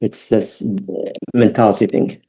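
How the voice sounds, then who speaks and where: Mellow middle-aged man with an Iraqi accent. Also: fairly low pitch, little pitch movement, poor audio. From Baghdad, Iraq. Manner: halting, reflective, non-native English phrasing. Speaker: podcast guest. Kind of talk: personal storytelling interview.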